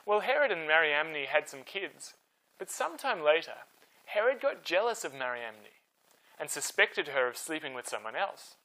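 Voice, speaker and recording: A man tells history as a storytime host, with a bright, forceful voice sitting slightly high. His intonation swings widely, and the scripted narration stays conversational and emphatic. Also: sing-song, normal speed, low-quality recording.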